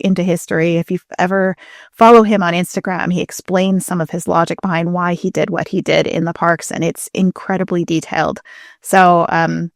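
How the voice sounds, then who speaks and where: A woman's voice: lowish voice, creaky, dry recording, studio quality. A woman co-hosting a podcast in casual conversation, talking with a fan's enthusiasm and plenty of detail. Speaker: podcast co-host. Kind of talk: casual chat.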